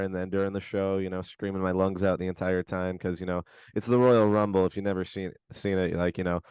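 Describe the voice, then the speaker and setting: A man co-hosting a podcast, his voice hoarse from screaming, chatting in a laid-back, slangy, rambling way from his bedroom at home. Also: low-pitched, a little mellow, dry recording. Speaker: podcast co-host. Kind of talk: casual podcast chat.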